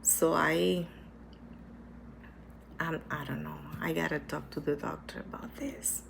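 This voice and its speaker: Woman, low and gravelly, stuffy with a cold; unhurried, earnest personal vlog, hesitant delivery. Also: flat delivery, background noise. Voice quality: hesitant, with many restarts and repetitions. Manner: earnest, reflective, speaks slowly. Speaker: vlogger sharing her cancer experience. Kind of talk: casual personal talk to camera.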